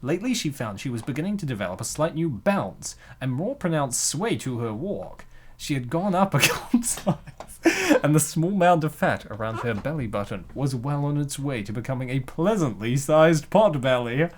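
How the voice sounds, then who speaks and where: Man, medium pitch, comic dub narrator, playful, slangy, scripted lines with jokey asides. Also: big pitch swings, normal speed, noisy background.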